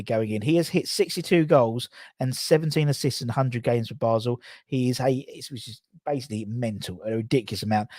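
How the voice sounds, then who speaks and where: A man's voice: medium pitch, a little mellow, dry recording, studio quality. A young man hosting a fan channel, chatting casually and rambling with asides. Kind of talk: casual live-stream chat.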